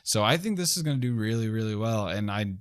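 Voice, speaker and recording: Low-pitched enthusiastic man chatting about film. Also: expressive, fast, clear, resonant tone. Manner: enthusiastic, informal. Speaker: film review show host. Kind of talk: casual film review chat.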